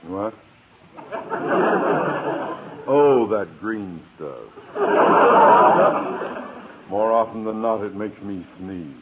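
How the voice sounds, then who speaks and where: Tinny noisy old radio recording, grumpy middle-aged principal, pompous, stuffy, formal, sitcom delivery. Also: male voice, lowish voice, slow pace. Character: grouchy middle-aged school principal, stuffy husband and father. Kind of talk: 1950s radio sitcom acting.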